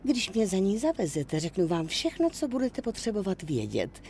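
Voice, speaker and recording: An actress playing a brisk, shrewd, bossy middle-aged office insider in a dubbed game, matter-of-fact and chiding. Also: female voice, mid-range voice, huge pitch swings, crowd noise. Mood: businesslike. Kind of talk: dubbed video game cutscene acting. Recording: clear vehicle and ambient noise behind.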